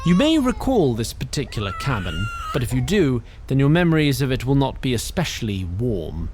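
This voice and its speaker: A man narrating an audio drama in a wry, expressive way. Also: medium pitch, normal speed, noisy background. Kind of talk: scripted narration.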